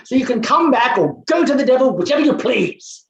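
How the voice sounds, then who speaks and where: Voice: high voice, animated, a little fast, vocal fry, lo-fi sound, a little hiss or hum. Overbearing middle-aged male professor played by an actor, stage drama, declamatory and scornful, wide swooping delivery, echoey room. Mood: pompous, dismissive. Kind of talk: theatrical staged play reading. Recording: roomy, echoing sound.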